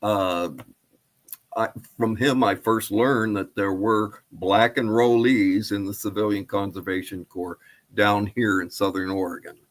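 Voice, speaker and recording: Male historian, seventies, fairly low voice, giving a prepared talk as a guest. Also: steady tone, a little slow. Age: 70s. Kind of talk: prepared presentation and interview answers.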